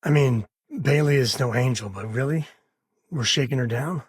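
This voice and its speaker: A man voicing a rugged, wry, warm-hearted space ranger in a video game, with a folksy, conversational way of talking. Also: mid-range voice, moderate pace, slightly rough, no echo, studio quality, close to an everyday voice. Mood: easygoing. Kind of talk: video game character dialogue.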